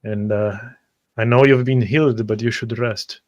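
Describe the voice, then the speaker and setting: A man voicing a cautious, courteous traveler in a measured, halting, formal way for a tabletop fantasy game. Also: medium pitch, fairly even intonation, darkish tone, dry recording, lo-fi sound, lightly acted. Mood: calm, cautious.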